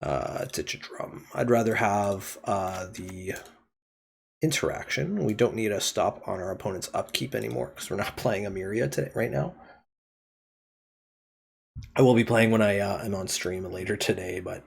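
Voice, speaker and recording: Gravelly, husky male game streamer. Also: lowish voice, moderate pace. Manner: thinking aloud while playing. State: throaty, getting over a cold. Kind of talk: casual card game commentary.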